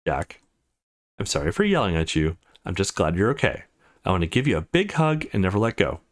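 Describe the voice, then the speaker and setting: A man's voice: sits low, sing-song, brisk. Man voicing a worried, moody young woman. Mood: apologetic, relieved. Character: worried, moody young woman, caring but quick-tempered.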